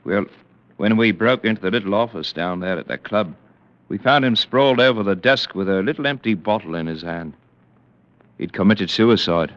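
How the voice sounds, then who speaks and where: Deep plain-spoken working man. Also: animated, moderate pace, a bit bright, a little hiss or hum. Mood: grim, matter-of-fact. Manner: colloquial, matter-of-fact. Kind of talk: 1940s radio drama acting. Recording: muffled vintage radio recording.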